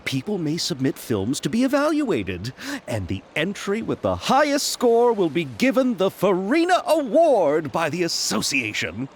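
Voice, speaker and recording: A man's voice: high voice, wildly swooping pitch, a little slow, a little hiss or hum, heavily acted. An actor voicing a polite, eager young male film producer in a game, courteous and wordy, with nervous little laughs. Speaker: game character. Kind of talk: video game voice acting. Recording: game audio with soft music.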